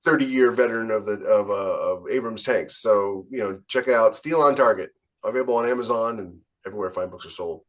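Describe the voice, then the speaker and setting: A man's voice: on the low side, a little fast, fairly bright tone. A man speaking as an author on a podcast panel, blunt and emphatic in casual, opinionated conversation. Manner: blunt, emphatic, rhetorical questions.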